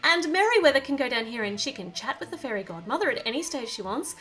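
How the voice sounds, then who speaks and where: A woman's voice: sits high, big pitch swings, fast, fairly bright tone. A woman presenting a toy build in a chatty, playful, gushing way, talking straight to young viewers. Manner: talks to the viewer. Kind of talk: chatty build-along narration for children.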